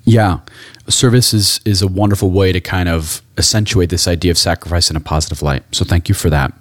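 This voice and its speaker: A man's voice: low voice, fairly even intonation, fairly quick, dry recording, clean studio sound. A man hosting an interview in a reflective, conversational style, affirming and encouraging as he asks questions. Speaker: interviewer, podcast host.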